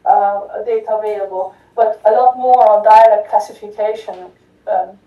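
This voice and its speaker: A woman's voice: mid-range voice, steady tone, normal speed. A woman giving an academic conference talk, with many fillers and restarts, often checking in with "right?". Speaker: conference speaker. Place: lecture hall. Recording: distant echoey lo-fi hall recording.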